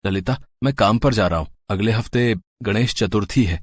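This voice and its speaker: A man's voice: fairly low pitch, normal speed, close to an everyday voice. A man voicing a poor, humble, devout servant in a cartoon moral story, speaking hesitantly and deferentially. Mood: earnest.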